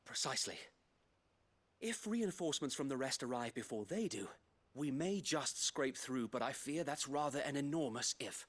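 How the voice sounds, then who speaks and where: An actor playing a dutiful, courteous but burdened guard captain in a fantasy video game, formal and articulate with an English accent. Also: male voice, medium pitch, expressive, moderate pace, close to an everyday voice. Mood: alarmed, grim. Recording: music behind the voice.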